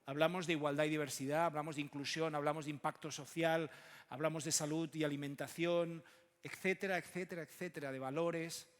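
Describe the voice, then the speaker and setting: A man from moderates a conference panel, speaking haltingly and often ending on short tag questions. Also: on the high side, fairly even intonation. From Barcelona, Spain. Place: large conference room. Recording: echoey hall with long reverb.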